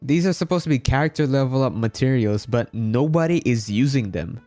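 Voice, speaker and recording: A man's voice: mid-range voice, lively intonation, a little fast, mellow, no room sound, faint music behind. A man from the Philippines chatting casually as he hosts a game livestream. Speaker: game streamer.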